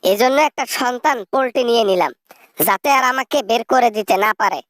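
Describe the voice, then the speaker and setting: A scheming elderly mother, acted by a woman in a political parody skit. Her voice sits fairly high and sounds very dry. She coaxes and boasts without shame. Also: fairly quick, dry recording, lightly acted. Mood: smugly boastful. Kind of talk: satirical phone-call parody skit.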